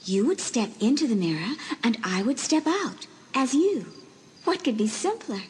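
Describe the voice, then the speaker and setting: Woman doing a hushed ghost girl. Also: a little high, very animated, moderate pace, a little hiss or hum. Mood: coaxing. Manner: gentle pleading. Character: faint ghostly teenage girl spirit in a mirror.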